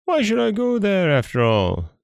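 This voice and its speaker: Guy doing a sly little storybook monkey, high pitched n swooping all over, excitable old-timey talk. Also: normal speed, creaky, smooth, no echo, studio quality, heavily acted. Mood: wary, curious. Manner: exclamatory, old-fashioned phrasing. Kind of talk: storybook character voice.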